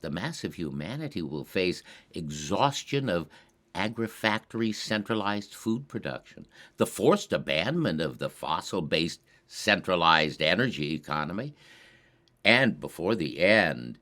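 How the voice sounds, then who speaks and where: Deep-voiced man, slow deliberate monologue. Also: pushed and bright. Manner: deliberate, formal and bookish, with emphatic pauses. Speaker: presenter of his own program.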